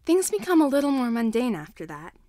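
A woman reading fan fiction aloud as an expressive scripted story narration. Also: high-pitched, a little fast, no echo, clean studio sound.

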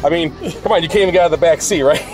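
A man's voice: a little high, racing. A man hosting a casual car chat, cracking jokes and laughing often, recorded in a car and then outdoors in a driveway. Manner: laughs often, jokey interjections. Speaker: host of a car channel. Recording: background music under the talk.

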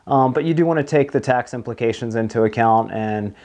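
A man's voice: mid-range voice, a little fast, a little mellow. Man explaining things in an interview. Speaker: marine broker, interview guest. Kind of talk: conversational interview answers, explanatory. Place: small office meeting room, indoors.

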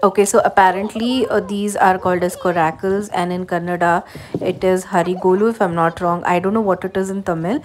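Indian-accented woman vlogger over clear background noise. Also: on the low side, steady tone, brisk. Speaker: travel vlogger. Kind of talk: casual travel vlog narration.

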